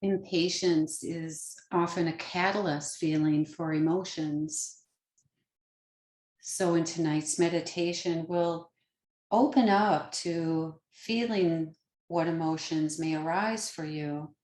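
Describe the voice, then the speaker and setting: A woman with a fairly low voice guides a meditation in a roomy, echoing space. She speaks very slowly and gently, leaving pauses between short phrases. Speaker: yoga nidra meditation teacher.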